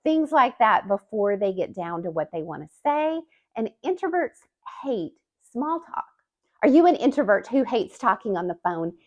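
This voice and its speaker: Woman, channel host, communication coach, scripted explainer, very animated pitch, emphatic. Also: moderate pace, muffled recording. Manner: lively, expressive delivery with emphatic rises. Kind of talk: scripted talking-to-camera explainer.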